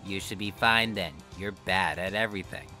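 A woman voicing a grumpy, sarcastic teenage boy in a blunt deadpan for an amateur cartoon dub. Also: a bass voice, normal speed, soft background music. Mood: sardonic.

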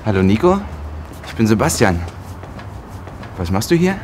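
A man in his twenties playing a friendly, easygoing German flatmate, speaking relaxed with hesitant fillers. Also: fairly low pitch, moderate pace, slight background noise. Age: 20s. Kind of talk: scripted German-learning drama.

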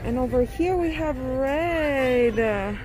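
A woman vlogging as she browses a large store, gushing excitedly over cute items and reading out prices. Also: high-pitched, slow, crowd noise, rough recording. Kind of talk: casual shopping vlog. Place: large indoor retail store.

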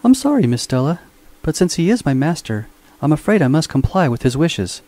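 A man doing a high, fussy robot servant. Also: big pitch swings, fairly quick, mellow. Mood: plaintive. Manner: prim, polite, whiny complaining. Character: fussy servile male robot butler.

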